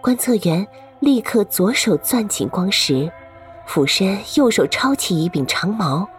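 A woman's voice: mid-range voice, big pitch swings, measured pace, soft background music. A woman reading scripted story narration for an audio drama.

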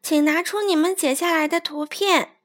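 A woman's voice: very high-pitched, fairly even intonation, moderate pace, fairly bright tone, voice pushed up. A woman voicing a strict, easily startled female schoolteacher in a children's story, with a commanding manner. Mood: instructing, firm. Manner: commanding, instructive.

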